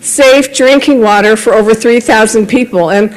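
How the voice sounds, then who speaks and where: A woman's voice: a little high, normal speed, low-quality recording. A woman giving a conversational report at a club meeting, speaking from a podium with small self-corrections. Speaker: club member reporting on a grant project.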